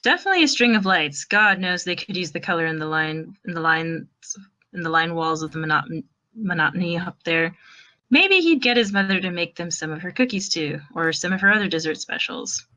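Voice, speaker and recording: Woman narrator, slightly low voice, bright and crisp-edged, wry, informal, amateur fan fiction reading. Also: expressive, a little fast.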